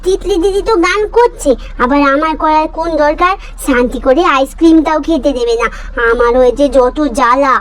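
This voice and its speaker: Woman doing a very high, whiny little girl. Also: brisk, natural voice. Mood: grumbling. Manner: whiny, pouty, childish. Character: demanding, chatterbox little village girl doll.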